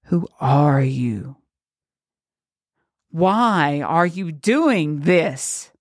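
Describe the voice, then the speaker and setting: A woman's voice: deep voice, lively intonation, dry recording, studio quality, full character voice. A woman voicing a terrified old priest. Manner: frantic shouting, pleading, slow.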